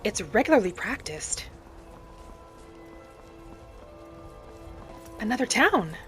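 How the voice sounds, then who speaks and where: A woman playing an earnest, devout wood elf wanderer, narrating a fantasy game roleplay in measured, formal phrasing. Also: mid-range voice, very animated, fairly bright tone. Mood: calm, musing. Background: music.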